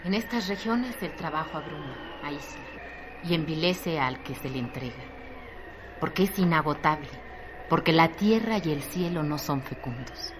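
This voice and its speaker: A woman's voice: low voice, animated, normal speed. A woman narrating a literary radio drama in an emphatic, dramatized, incantatory way. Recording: noisy radio drama recording.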